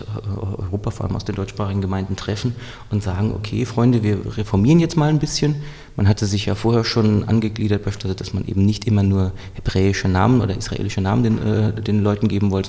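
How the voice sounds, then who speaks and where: A man with a fairly low, gravelly voice talks through a history in a measured, explanatory way, pausing and restarting his sentences as he thinks. The room gives his voice a long, ringing reverb. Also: mellow. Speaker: historian guest. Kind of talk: thoughtful interview answers.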